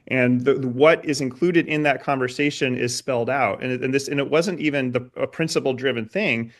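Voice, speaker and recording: A man hosting a conversational interview, earnest and halting, repeating words as he thinks aloud. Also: medium pitch, a bit bright. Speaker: interviewer, host.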